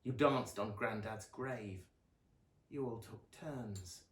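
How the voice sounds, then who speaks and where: A man voicing a sad, sullen young girl in a subdued, quiet way for a storybook. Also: fairly low pitch, takes it slowly, echoey room, far from the mic. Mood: resentful.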